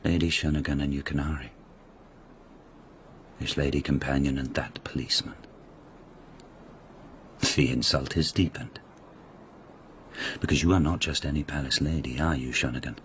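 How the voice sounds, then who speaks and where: An actor playing a haughty, menacing palace lord of ancient Japan in a radio drama, with an imperious, sneering, deliberate delivery. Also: male voice, fairly even intonation, a little hiss or hum. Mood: sneering, threatening. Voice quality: deep, husky.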